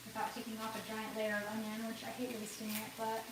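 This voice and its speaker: A rambling woman talks through her cooking, laughing often and sniffling with a runny nose. Her somewhat high voice is caught from a distance in a very echoey home kitchen, with clear background noise behind her. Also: completely flat delivery, fast talker. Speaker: cooking livestream host. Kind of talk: casual cooking livestream chat.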